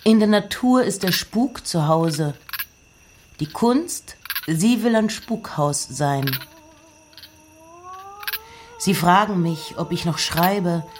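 A woman giving a literary reading of poems and letters with measured, deliberate phrasing. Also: lowish voice, expressive, background noise.

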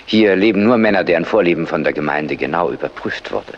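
Blind clergyman, 1960s crime film: rock-bottom gravel voice, courteous, guarded, formal. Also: deep voice, muffled recording. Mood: smooth, guarded. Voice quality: gravelly, husky. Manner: measured, formal, softly evasive. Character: genteel blind male clergyman running a shelter, secretly sinister. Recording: old film soundtrack.

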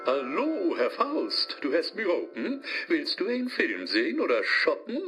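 Bossy voice assistant, high sharp voice, overeager, Low German accent, radio play. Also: male voice, wildly swooping pitch, a little slow, faint music behind, full character voice. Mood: oily, eager. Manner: overeager, officious, commanding. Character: pushy, bossy digital voice assistant with devilish cheek. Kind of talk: rhymed radio play dialogue.

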